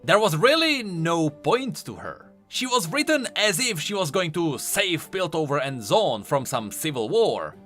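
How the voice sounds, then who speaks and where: A man's voice: on the high side, very animated, a little slow, hardly any pauses, a bit bright, faint music behind. A man giving scripted, explanatory video commentary on game lore, stressing key words emphatically.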